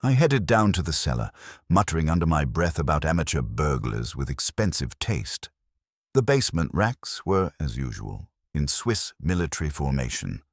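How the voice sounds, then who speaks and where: A man with an extremely low voice narrates a detective story. His delivery is wry and dramatic, swinging widely in pitch. The voice has a husky grain. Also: big pitch swings, normal speed, dry recording, clean studio sound. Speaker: audiobook narrator. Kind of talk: scripted first-person detective story narration.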